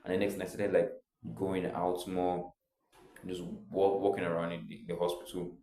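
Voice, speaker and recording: A young man tells his own story in a loose, rambling way, leaning on filler words as he goes. His voice sits very low and sounds mellow and soft-edged. The room around him adds a clear echo. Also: little pitch movement, moderate pace. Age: young adult. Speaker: vlogger. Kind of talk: casual personal storytelling.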